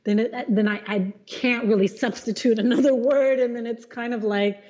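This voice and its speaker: A middle-aged woman, a jazz singer, talking in a relaxed interview, thoughtful, with many restarts. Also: on the high side, moderate pace, muffled recording. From Los Angeles, USA. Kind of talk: casual conversation.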